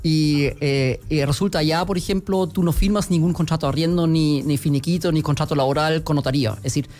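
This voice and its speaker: A man's voice: fairly high pitch, fairly even intonation, brisk, a little mellow. A man with a German accent, giving hesitant, filler-heavy answers as a guest on a radio interview. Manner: hesitant, many fillers and restarts. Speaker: guest, company executive.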